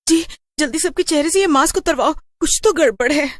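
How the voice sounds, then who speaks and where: A woman's voice: high-pitched, brisk, dry recording, studio quality, voice pushed up, natural voice. A woman voicing a nagging, urgent wife and mother in a cartoon horror story. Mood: alarmed, urgent. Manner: scolding, urgent, exclamatory. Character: nagging, alarmed young mother and wife ordering husband around.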